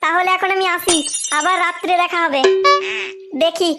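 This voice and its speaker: A woman's voice: extremely high pitch, fairly even intonation, moderate pace, loud music behind the voice. A woman voicing a cheeky, nosy, foul-mouthed young village lad in a cartoon, teasing and gossipy.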